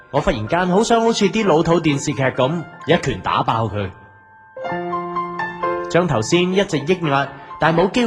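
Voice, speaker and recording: A man acting a patient, restrained big brother in an audio drama, speaking in a subdued, reflective way. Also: a little high. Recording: soft guitar music behind.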